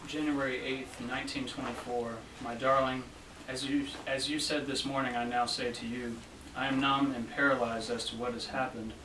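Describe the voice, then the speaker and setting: Young man, mid-pitched voice, love letter reading, roomy echo, distant mic. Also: little pitch movement, normal speed. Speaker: student reader at a library event. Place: library room, reading to a small gathering. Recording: roomy, distant event recording with faint noise.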